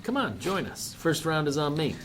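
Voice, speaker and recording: A man playing an easygoing young male rookie cop in a dramatized scene. His delivery swings up and down in a friendly, ribbing way. There is clear background noise around him. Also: on the high side, sing-song, normal speed. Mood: friendly teasing. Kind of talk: acted dramatized dialogue.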